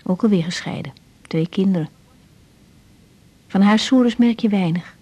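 A woman's voice: medium pitch, unhurried, a little mellow, slightly rough. An actress playing an intense, caring young woman doctor with a Netherlands Dutch accent in a radio drama, speaking in a confiding, insistent manner. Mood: introspective, weary. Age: young adult. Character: intense young female doctor, caring but exasperated daughter. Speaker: lead actress in radio serial. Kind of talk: 1980s radio drama, intimate monologue and dialogue.

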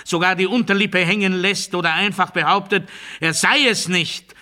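A regimented man obsessed with obedience in a literary radio play. His voice sits rather high, with a very sharp, forceful edge. He delivers absurd doctrine deliberately, as a declamation. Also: steady tone. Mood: strident proclamation. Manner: deliberate, declamatory, swinging from hushed confiding to strident proclamation.

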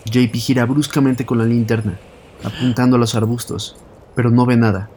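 A man's voice: medium pitch, steady tone, normal speed, a little mellow, slight background noise. A man with a Mexican accent reading scripted narration for an audio story.

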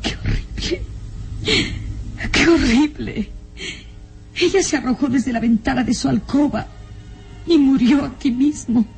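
A grieving young heroine of a radio melodrama, with a high but dark, soft tone. She speaks very slowly and mournfully over faint music. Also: gravelly, slightly rough, heavily acted. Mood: anguished, tearful. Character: sorrowful young daughter mourning her mother. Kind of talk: classic radio drama acting.

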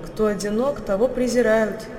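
An actress playing a pensive storyteller who laments human frailty, reciting in a measured, declamatory radio drama style. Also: female voice, fairly high pitch, fairly even intonation, darkish tone, lightly acted. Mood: pensive, lamenting. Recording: reverberant hall with faint music.

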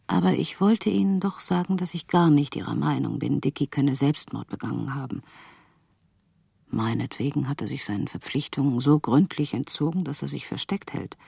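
Guy reading his letter, husky and dark, frank, kinda sceptical, radio play. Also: mid-range voice, moderate pace, no room sound.

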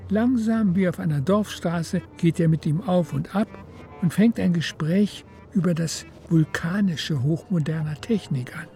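A man's voice: high voice, a little slow, dark tone, soft background music. A man with pronunciation reading literary narration for a radio drama.

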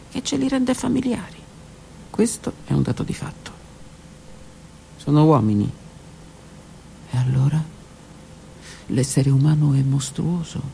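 Woman narrator, literary reading, gravelly voice, slips into whispers, faint hiss behind. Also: low-pitched, dark tone. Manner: intimate, often dropping to a whisper. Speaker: author and narrator. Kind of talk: literary reading for radio theatre.

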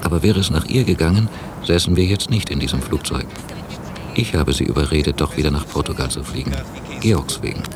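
Low-voiced brooding German traveller. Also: male voice, expressive. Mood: brooding, doubtful. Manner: measured, reflective. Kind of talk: radio drama inner monologue and dialogue. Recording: hissy cassette copy of radio drama.

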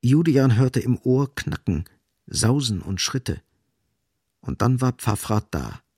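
A man's voice: medium pitch, animated, slow, mellow, husky, dry recording, clean studio sound. A man reading literary scripted narration as the narrator of a radio drama.

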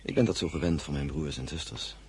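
A deep, mellow young gentleman suitor in a radio drama. He is courteous and eager to please, speaking briskly over faint noise. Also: lively intonation. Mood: gallant. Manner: courteous, ingratiating. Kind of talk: radio play acting.

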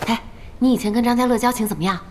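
A woman's voice: fairly high pitch, very fast, slight background noise, natural voice. A woman in an audio drama playing an outspoken, impatient young gamer who is chatty and nagging. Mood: curious. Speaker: voice actor in a radio drama. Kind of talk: animated audio drama dialogue. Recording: faint music behind the voice.

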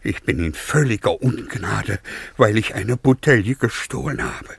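A man playing a disgraced, drunken, scheming tutor in a German radio play, husky and breathy, halting and exaggerated. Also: lowish voice, lively intonation, a bit bright, no room sound, full character voice. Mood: wailing, abject. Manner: halting, exaggerated, muttering to exclaiming. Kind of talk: German radio play acting of a classical comedy.

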